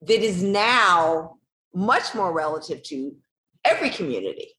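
A woman's voice: fairly low pitch, animated, unhurried, fairly bright tone. Host: woman interviewer, affirming and chatty, recorded in an echoey room. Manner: affirming, thinks aloud with fillers. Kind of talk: conversational interview.

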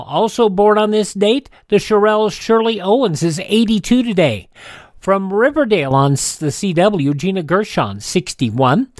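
A man's voice: high voice, dry recording, studio quality. A man reading a scripted almanac narration as a radio host.

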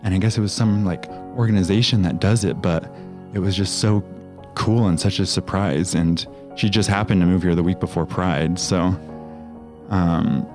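Deep-voiced guy, likes and you knows everywhere, some quiet music. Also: steady tone, normal speed. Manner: loose, filler-heavy talk. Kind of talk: casual chat.

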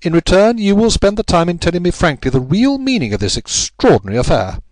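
A man playing a commanding English gentleman detective in a story, incisive, urgent and authoritative. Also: high-pitched, big pitch swings, fast talker, no echo, pitched above the performer's own voice. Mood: brisk.

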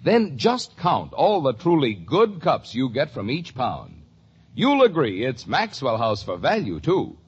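A man's voice: mid-range voice, huge pitch swings, measured pace, husky. A man reading a scripted commercial as a 1950s radio announcer. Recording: muffled old radio broadcast recording.

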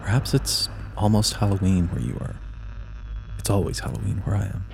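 Genial ghoulish male horror host, acted by a man, deep and unhurried, theatrical menace with a wink, faint noise behind. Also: animated, vocal fry, a little mellow. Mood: playfully ominous. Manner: slow, ominous, playful. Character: creepy, genial male horror storyteller in a crypt. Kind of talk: theatrical spooky podcast introduction.

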